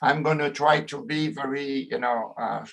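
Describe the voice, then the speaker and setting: A middle-aged man with a French accent, a sociologist giving an online lecture, halting often with fillers and restarts. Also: mid-range voice, vocal fry, a bit bright.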